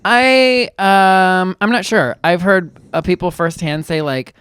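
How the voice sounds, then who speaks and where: A man co-hosting a podcast in casual chat, playful and quick to laugh. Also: sits high, fairly bright tone, smooth, no echo. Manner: playful, laughs often, jokey asides. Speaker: podcast co-host.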